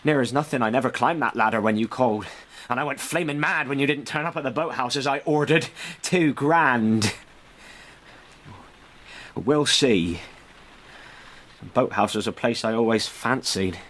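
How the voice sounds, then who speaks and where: An actor playing a rough, proud young servant, speaking bluntly and colloquially in a radio drama. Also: male voice, medium pitch, normal speed, pauses often, a bit bright, lightly acted. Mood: bitter, defiant.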